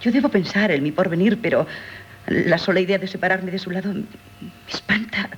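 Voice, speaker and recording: An actress playing a dutiful young daughter longing to escape, earnest and hesitant, in Castilian Spanish radio drama. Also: female voice, on the low side, a little fast, muffled recording, a little hiss or hum, close to an everyday voice. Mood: hesitant, troubled.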